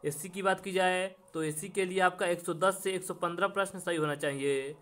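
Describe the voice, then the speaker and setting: A man's voice: sits high, steady tone, fairly quick, fairly bright tone. A man hosting a coaching channel, explaining exam information step by step and addressing students directly in a repetitive way. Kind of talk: explanatory exam update presentation.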